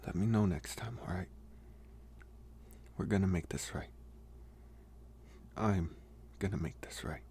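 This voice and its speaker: Guy doing a protective bully boyfriend for asmr, low gravelly voice, halting n soft, bit of noise behind. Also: full character voice. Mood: gentle, reassuring. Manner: halting, soft-spoken, gruffly caring. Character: protective young male bully, secretly tender boyfriend-to-be. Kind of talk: intimate ASMR audio roleplay.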